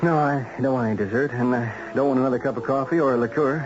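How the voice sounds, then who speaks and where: An American actor playing a smooth magazine editor who recruits contract killers in a 1970s radio drama, insinuating and matter-of-fact with casual hesitations. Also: male voice, medium pitch, quick pace, noisy background, lightly acted. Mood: evasive, casual. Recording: muffled old radio broadcast, music bed.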